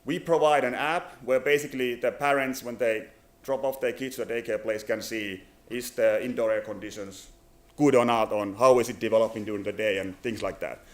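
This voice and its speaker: A man from Finland in his thirties with a Finnish accent, giving a business presentation at a conference, halting with many fillers and restarts. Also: medium pitch, steady tone. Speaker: company presenter. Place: conference venue.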